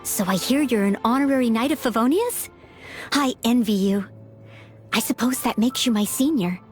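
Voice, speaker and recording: A woman's voice: fairly high pitch, moderate pace, lightly acted. A woman voicing an earnest, polite teenage maid and aspiring knight in a video game, eager to please. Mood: polite, admiring. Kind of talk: video game character voice lines. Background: background music.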